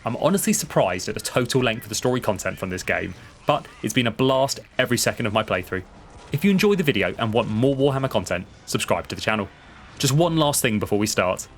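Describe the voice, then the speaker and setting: A man's voice: medium pitch, big pitch swings, quick pace, background noise. A man narrating the introduction to a gaming video as its creator.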